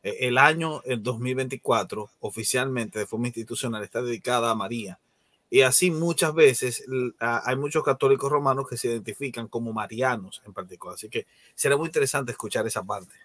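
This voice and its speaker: A man on a video call panel, explaining a point in a measured way. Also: mid-range voice, a bit bright. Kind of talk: casual panel chat. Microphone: webcam video call.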